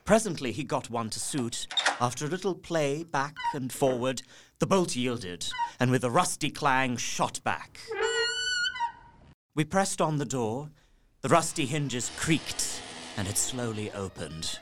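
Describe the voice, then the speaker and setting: An actor playing an earnest young gentleman who narrates his horror journal in an audio drama, in a measured, literary and haunted manner. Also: medium pitch, lively intonation, very noisy, lightly acted. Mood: suspenseful.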